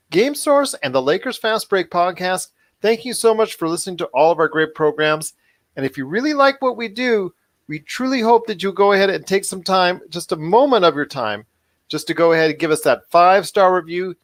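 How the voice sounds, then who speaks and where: A man with a slightly high voice hosts a pop podcast in an upbeat, bantering way. He is wordy and circles back to repeat his phrases. The sound is close and dry. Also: dry recording. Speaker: podcast host.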